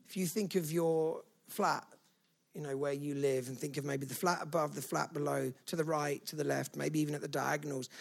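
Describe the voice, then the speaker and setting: A man's voice: on the high side, gravelly. A man preaching a sermon to a church, emphatic and conversational, often adding "right?". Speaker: pastor.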